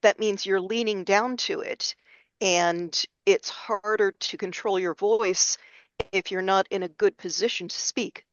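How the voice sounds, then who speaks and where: A woman's voice: medium pitch, fairly even intonation, measured pace, dry recording. A woman speaking in a casual group discussion on a slightly muffled, lo-fi connection.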